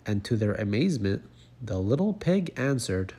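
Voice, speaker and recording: A man narrating a children's picture book as a read-aloud, in an expressive storytelling manner. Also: fairly low pitch, moderate pace, breathy edge.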